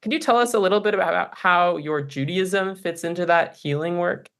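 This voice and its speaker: A man's voice: high-pitched, moderate pace, creaky, fairly bright tone, clear, resonant tone. A man co-hosting a podcast, speaking in a welcoming, appreciative conversational way as he interviews. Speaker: podcast co-host.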